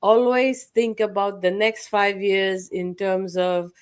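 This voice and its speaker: A woman's voice: mid-range voice, little pitch movement, slow, clear, resonant tone. A woman hosting a motivational online talk, speaking earnestly, repeating phrases for emphasis and listing points one by one. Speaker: host.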